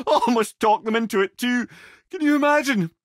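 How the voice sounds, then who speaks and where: A Scottish actor voicing a witty, talkative old male sage in a video game, with a husky voice and a wry storyteller's manner. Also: extremely high pitch, a bit bright, dry recording, clean studio sound, heavily acted. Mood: boisterous, amused.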